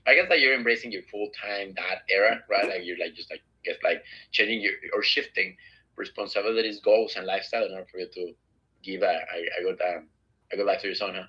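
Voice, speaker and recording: Fast-talking young Mexican man. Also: deep voice, fairly even intonation, bright, forceful tone, muffled recording. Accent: Mexican Spanish. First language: Spanish. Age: 20s. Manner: chatty, joking, many fillers and restarts. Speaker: company director meeting new recruits. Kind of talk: casual Zoom introductions. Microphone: video call.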